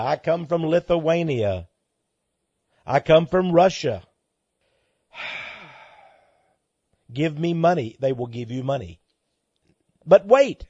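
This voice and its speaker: Animated, high-pitched man preaching on air. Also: dry recording, dull audio. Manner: emphatic, dramatic, repeats phrases for effect. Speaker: talk show host and preacher. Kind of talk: impassioned monologue to listeners.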